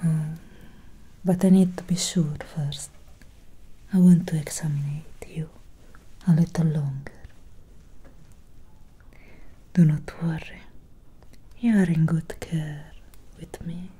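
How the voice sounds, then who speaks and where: A young woman playing a gentle, melancholy Victorian vampire doctor in a breathy, soothing ASMR whisper, close to the microphone. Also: sits low, steady tone, heavily acted. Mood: gentle, reassuring. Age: young adult. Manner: slow, soothing, hushed. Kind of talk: soft-spoken ASMR medical roleplay.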